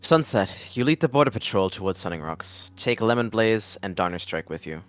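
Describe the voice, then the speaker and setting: A man's voice: lowish voice, animated, moderate pace, fairly bright tone, no room sound, soft background music, voice pushed up. A man voicing a cold, commanding tom cat deputy in an animal fantasy roleplay, giving stern, clipped orders.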